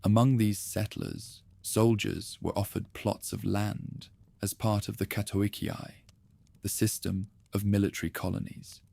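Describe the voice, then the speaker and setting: A man narrating a calm, scripted history documentary in a soft, even, unhurried way. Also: fairly low pitch, gravelly.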